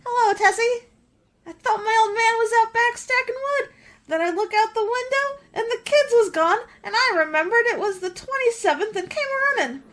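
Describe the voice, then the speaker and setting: An actress playing a chatty, folksy village housewife with a rural American accent in a radio play. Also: female voice, extremely high pitch, normal speed, a bit bright. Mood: flustered, cheerful. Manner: gossipy, folksy. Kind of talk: radio drama acting.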